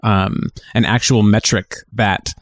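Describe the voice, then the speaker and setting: A man co-hosting a podcast in casual discussion, talking in a halting, reflective way and circling back on his phrases. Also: fairly low pitch, fairly even intonation, dry recording, studio quality. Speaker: podcast co-host.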